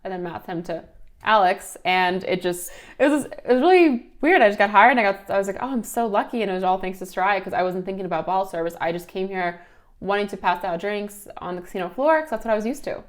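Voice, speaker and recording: A woman's voice: mid-range voice, expressive, fast. A young woman from Ukraine, raised in Philadelphia, telling anecdotes casually and playfully as an interview guest. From Ukraine, raised in Philadelphia from age seven. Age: young adult. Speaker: interview guest, bottle service worker and model. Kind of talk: casual chat, anecdotal storytelling.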